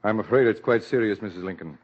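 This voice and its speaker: An actor playing a formal, tactful family doctor in a measured 1940s radio drama style. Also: male voice, fairly low pitch, breathy edge. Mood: grave, placating. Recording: dull old 1940s radio recording.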